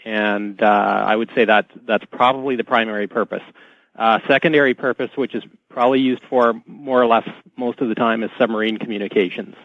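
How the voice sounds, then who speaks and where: A man calling in to a radio discussion, explaining things in a hesitant, halting way over dull, muffled audio. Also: mid-range voice, steady tone, no room sound. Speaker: guest caller.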